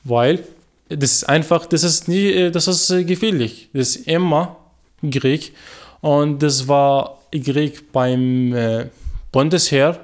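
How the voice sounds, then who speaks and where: Young Iraqi man in halting German. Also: fairly high pitch. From Iraq. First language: Arabic. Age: 20s. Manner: halting learner's German with many fillers and restarts. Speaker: guest, former journalist. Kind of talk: radio interview.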